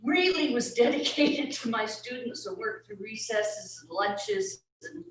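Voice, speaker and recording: An older woman from England speaks slowly and deliberately, telling her own story. Her voice rings in an echoey room, recorded from a distance. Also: a little high, very animated, slight background noise. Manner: unhurried, warm, laughs at herself. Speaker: retired teacher, board candidate. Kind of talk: personal speech at a meeting. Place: community centre meeting room.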